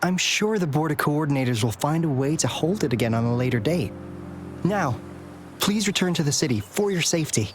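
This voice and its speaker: A woman's voice: low-pitched, lively intonation, a little fast, slight background noise. A woman voicing a polite but firm security escort in a video game. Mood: firm, reassuring.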